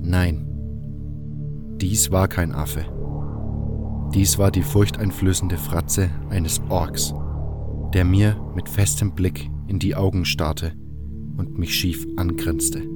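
A man's voice: low voice, fairly even intonation, slow pace, long pauses, over loud music. A man reading scripted first-person narration for a fantasy audio drama.

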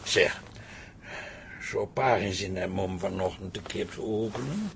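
An actor playing a weary, teasing married pub landlord in a colloquial, wry way in a comic radio drama. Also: male voice, sits low, measured pace, gravelly, fairly bright tone, a little hiss or hum, full character voice. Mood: listless, weary.

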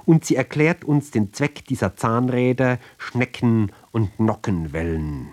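A man giving a sober, measured literary reading for radio, phrase by phrase with deliberate pauses. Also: mid-range voice, a little mellow. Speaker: reader of literary ballads.

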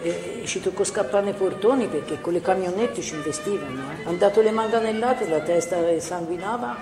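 Elderly female witness, colloquial halting reminiscence, echoey space, prominent music behind. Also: lowish voice, a crowd in the background. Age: older. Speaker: witness recalling the 1950s. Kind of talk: informal oral history. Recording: roomy recording with loud music behind.

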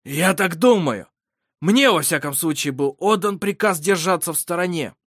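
Casting: embittered fantasy servant, male, raised pitch, stubborn, hissing shouts done by one narrator. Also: lively intonation, normal speed, no room sound, studio quality. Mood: bitter.

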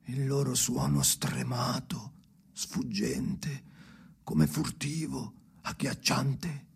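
An actor playing a brooding, haunted old storyteller in a radio play, hoarse and often near a whisper, speaking in halting, repeated fragments. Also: male voice, medium pitch, sing-song, exaggerated voice. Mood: anguished, fading. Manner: halting, fragmented, incantatory repetition. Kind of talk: slow dramatic radio play monologue.

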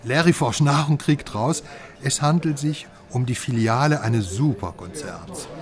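An actor playing a secretive, mistrustful informant who explains eagerly in a German radio crime drama. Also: male voice, mid-range voice, measured pace, natural voice. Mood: eager explaining.

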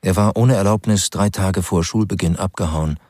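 A man narrating a scripted crime radio drama as a grave, sober storyteller with a measured delivery. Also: deep voice, darkish tone, no room sound, clean studio sound. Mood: grave, tense.

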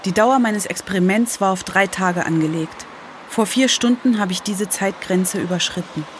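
A woman's voice: mid-range voice, normal speed, background noise. A woman delivering scripted first-person narration for an audio piece. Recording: music under the voice.

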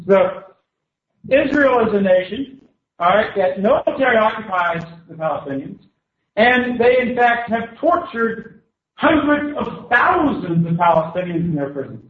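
A man with a Southern US accent giving a public speech through an interpreter, in short declamatory phrases that pause for translation. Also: sits high, animated. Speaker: guest speaker. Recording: echoey hall, distant tinny mic.

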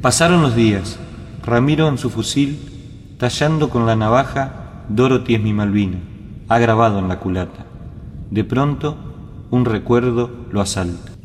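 A man's voice: mid-range voice, moderate pace, a little hiss or hum. A man narrating a story as a poetic recitation. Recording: long reverb, music behind. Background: music.

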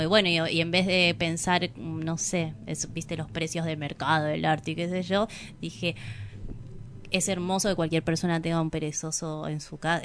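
A woman with an Argentine accent chatting casually as an interview guest, animated in anecdotes and often correcting herself mid-thought. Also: fairly low pitch, clean tone. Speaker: interview guest, artist and singer. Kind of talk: casual chat in a radio interview.

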